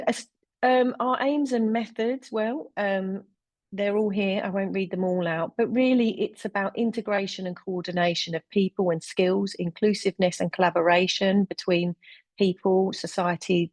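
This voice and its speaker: A woman's voice: lowish voice, darkish tone, no room sound. A woman from London with a London accent, presenting a webinar as deputy director, earnest, with frequent ums and uhs. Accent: London English.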